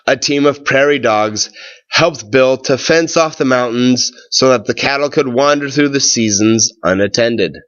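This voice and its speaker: A man reading a children's tall tale aloud, with expressive, deliberate phrasing, drawn-out words and pauses. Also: medium pitch, highs cut off.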